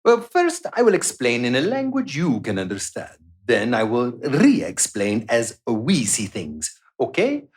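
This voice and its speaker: Radio play villain: smug vampire boss, condescending and theatrical, widely swinging pitch. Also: male voice, huge pitch swings, measured pace, hardly any pauses. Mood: condescending menace. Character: gloating, menacing male vampire doctor and boss. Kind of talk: live radio play acting.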